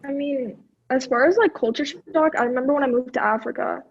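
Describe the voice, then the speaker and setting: Relaxed woman on a dull lo-fi mic. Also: high-pitched, brisk, a little hiss or hum. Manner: relaxed, rambling, many fillers. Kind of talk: casual chat among friends.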